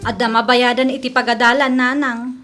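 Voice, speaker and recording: A woman's voice: a little high, little pitch movement, normal speed, loud music behind the voice, natural voice. A woman playing a proud, demanding young college daughter in a radio drama, petulant and insistent. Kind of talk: amateur radio drama acting.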